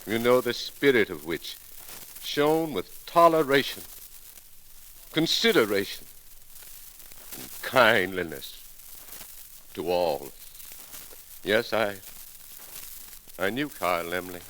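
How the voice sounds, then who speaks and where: A man giving a heartfelt, solemn memorial tribute on 1930s radio, pausing between short phrases. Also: on the low side, expressive, sharp tone. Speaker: eulogist. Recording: crackly 1930s radio broadcast recording.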